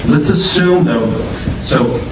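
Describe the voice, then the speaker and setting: A man's voice: a little high, slow, crowd noise. A male attorney cross-examining a witness in court with pointed, probing questions. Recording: distant, echoey courtroom mic with noise.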